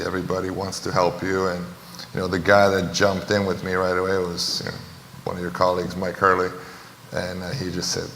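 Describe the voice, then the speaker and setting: Low, flat-voiced man in echoey hall. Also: gravelly. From Romania. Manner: earnest, blunt, repeats phrases. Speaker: panelist, basketball entrepreneur. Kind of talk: panel discussion answers. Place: large hall, live panel. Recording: echoey hall, faint room noise.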